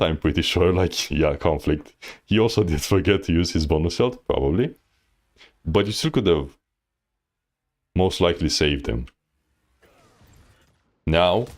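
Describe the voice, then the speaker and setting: A man's voice: rumbling low, darkish tone. A man coaching on a live stream, reviewing gameplay bluntly with lots of fillers. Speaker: game coach.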